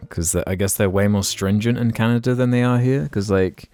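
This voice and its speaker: Very deep-voiced man chatting. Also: a little fast, a little mellow, clean tone. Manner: rambling, swears casually, many "like" fillers. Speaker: podcast co-host. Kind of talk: casual podcast chat.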